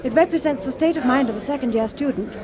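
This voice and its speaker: Actress as high-voiced, playful young female student. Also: quick pace, a crowd in the background, natural voice. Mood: playful, wistful. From Rhodesia. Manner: playful, expressive. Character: bright, wistful young female science student. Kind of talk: radio drama dialogue. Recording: noisy busy background ambience.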